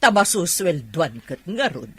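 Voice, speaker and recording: A woman playing a shrewd, gossipy middle-aged employer in a radio drama, with a husky voice. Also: on the low side, very animated, a little slow, no room sound, exaggerated voice. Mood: knowing, gossipy.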